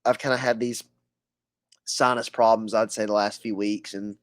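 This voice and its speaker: A man's voice: on the low side, level tone, normal speed, no echo. A man hosting a podcast interview with a scratchy voice, hesitant and full of fillers. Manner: hesitant, many fillers and restarts. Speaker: podcast host.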